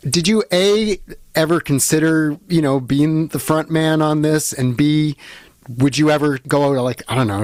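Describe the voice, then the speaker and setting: A man's voice: medium pitch, darkish tone, no room sound, studio quality. A man interviewing a guest in a casual, conversational way as a podcast host.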